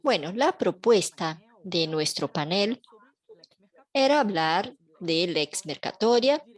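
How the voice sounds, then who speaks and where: Slow, measured woman interpreter. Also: fairly low pitch, lively intonation. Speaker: simultaneous interpreter. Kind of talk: interpreting a panel talk.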